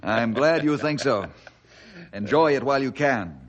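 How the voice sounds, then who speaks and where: An actor playing an upright, steady forest ranger, earnest and authoritative, in an old-time radio adventure. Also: male voice, medium pitch, measured pace, muffled recording, over loud music, natural voice. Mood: stern, reproving.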